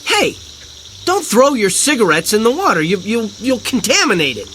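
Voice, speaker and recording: An actor playing an earnest documentary filmmaker, hesitant and excitable, in an audio drama. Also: male voice, high-pitched, normal speed, a little hiss or hum, exaggerated voice. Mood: indignant. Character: earnest, easily flustered male documentary filmmaker. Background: faint crickets and insects.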